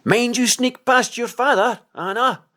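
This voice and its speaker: A man's voice: very high-pitched, unhurried, bright, forceful tone, pitched above the performer's own voice, heavily acted. Man playing a bossy, sulky island boy. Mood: calling out.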